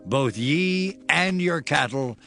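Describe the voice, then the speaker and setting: An actor playing a stern prophet, declaiming solemnly in a dramatized scripture production. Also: male voice, on the high side, lively intonation, vocal fry, sharp tone, faint music behind, exaggerated voice. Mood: solemn, prophetic. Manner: solemn, declamatory, drawn-out phrasing. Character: stern male prophet delivering divine commands.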